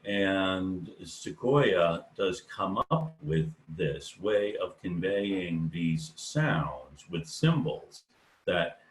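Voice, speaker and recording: A male professor teaching an online class, asking students questions and explaining slowly, often pausing with "um" as he thinks aloud. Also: deep voice, big pitch swings, a little hiss or hum. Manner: unhurried, thinking aloud with many ums.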